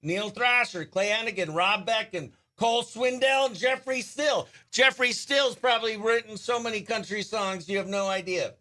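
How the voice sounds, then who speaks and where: High-pitched, bright-voiced male radio host. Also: moderate pace, few pauses. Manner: informal, excitable, slangy. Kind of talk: casual behind-the-scenes chat and upbeat radio interview.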